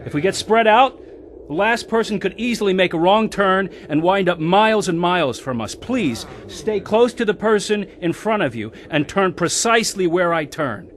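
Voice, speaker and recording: A man's voice: sits high, lively intonation, moderate pace, a little hiss or hum. An actor playing a confident ski instructor and adventurer who tells his own life story in a radio drama. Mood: urgent, commanding. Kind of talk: radio drama acting and first-person narration.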